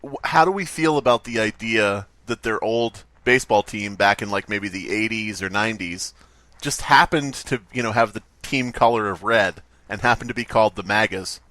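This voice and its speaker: A man with a fairly low voice talks as a podcast host. He is wry and casual, swears now and then, and the sound is dry with hardly any room in it. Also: normal speed, bright, forceful tone, dry recording. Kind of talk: casual comedic chat, reading stories aloud.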